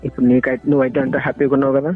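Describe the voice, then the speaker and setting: A man's voice: medium pitch, little pitch movement, rapid-fire. A young man with a Telangana accent in an emotional private phone argument, sounding hurt and reproachful and repeating his phrases insistently. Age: young adult. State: hurt, upset. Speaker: caller's former boyfriend. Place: phone call. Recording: tinny phone call recording with music. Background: music.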